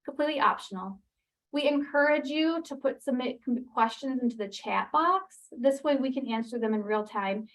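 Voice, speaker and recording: A woman opening a webinar, explaining the program and the call settings in a brisk way with ums and restarts. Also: sits high, animated, fairly bright tone, rough recording. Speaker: webinar host for a training program. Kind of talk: webinar introduction. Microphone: computer mic on a video call.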